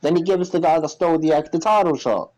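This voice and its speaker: A man's voice: a little high, fast talker, a little mellow, lo-fi sound. A man co-hosting a podcast, talking casually and reading out sponsor messages. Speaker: podcast co-host.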